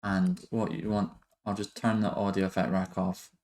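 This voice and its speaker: Male tutorial host, low register, very even delivery, halting and informal, working through steps aloud. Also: vocal fry. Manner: hesitant, halting, thinking aloud. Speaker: music production tutorial host. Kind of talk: casual software tutorial narration.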